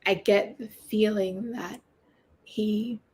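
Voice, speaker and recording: A hoarse woman on a video call, speaking haltingly as she describes feelings while standing in for someone's husband. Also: medium pitch, fairly even intonation, a little mellow. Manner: searching, hesitant phrasing.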